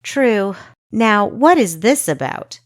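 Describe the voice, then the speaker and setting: Audiobook teen girl spy, played by a woman: defiant, indignant, quick with sarcasm. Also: medium pitch, animated, dry recording, clean studio sound, pitched above the performer's own voice. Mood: sarcastic. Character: sharp-tongued fourteen-year-old girl spy, grumbling, defiant. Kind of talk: audiobook character dialogue.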